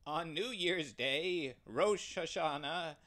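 A man's voice: on the high side, pushed and bright, voice pushed up. A man voicing a brave, determined male ram who speaks earnestly and urgently. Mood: solemn. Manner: earnest, urgent, slow and emphatic.

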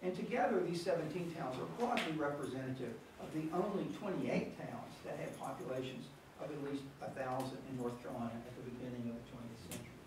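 An older man giving a keynote lecture, reading from notes at a measured pace with small restarts, in a conference room. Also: medium pitch. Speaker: keynote speaker. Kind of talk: academic lecture read from notes. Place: conference room with large screen. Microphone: far camera, no mic seen. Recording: very echoey room from far off, noisy.

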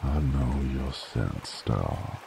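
Werewolf bounty hunter for roleplay audio: rock-bottom gravelly growl, dominant, teasing drawl, loud ambient noise behind. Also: male voice, extremely low pitch, animated, full character voice. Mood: menacing, intrigued. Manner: slow, drawling, seductive, menacing. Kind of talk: intimate roleplay ASMR, slow and breathy.

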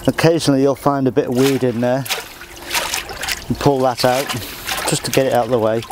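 Practical gardener talking while working. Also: male voice, mid-range voice, steady tone, a little fast, background noise. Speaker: allotment gardener. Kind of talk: casual how-to demonstration. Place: outdoor allotment garden.

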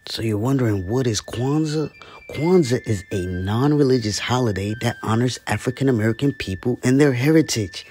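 A man's voice: mid-range voice, a little mellow. A man hosting a children's read-along, reading aloud in a simple, instructive way.

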